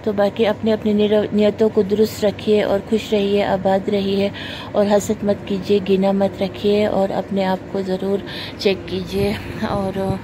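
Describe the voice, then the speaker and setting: A woman delivers an earnest, scolding lecture, recorded on a phone in a carpeted room at home. Her pitch sits at an ordinary middle height. Steady hiss and passing traffic run under her voice. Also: a little slow. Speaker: vlogger. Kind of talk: moralizing monologue to camera. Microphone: phone camera pointed at the floor.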